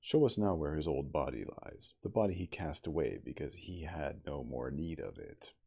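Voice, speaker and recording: A man acting a commanding castaway, gravelly and animated. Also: extremely low pitch, moderate pace, full character voice. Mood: solemn, commanding. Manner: commanding, declamatory, solemn. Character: male castaway narrator boldly preaching law to beast-men.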